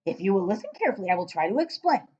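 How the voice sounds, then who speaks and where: A big, exuberant old giant from a children's storybook, played by a woman reading aloud. The voice booms and swings widely in pitch, stretching exclamations with great emphasis. The recording is dull and lo-fi. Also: sing-song, warm tone, slightly rough. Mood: lecturing. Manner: booming, emphatic, drawn-out exclamations. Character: big friendly old giant, exuberant, mangles his words.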